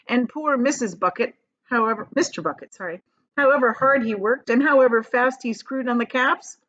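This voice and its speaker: A woman reads a children's story aloud like a teacher, with dramatic emphasis and drawn-out key words. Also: fairly high pitch, a bit bright, lo-fi sound.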